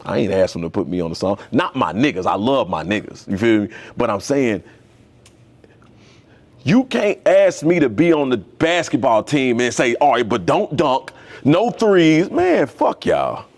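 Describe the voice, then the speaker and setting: A man's voice: mid-range voice, big pitch swings, measured pace, a little mellow. A young man, a tattoo artist, talking as a podcast guest in a loose, slangy, storytelling way. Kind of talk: casual conversation.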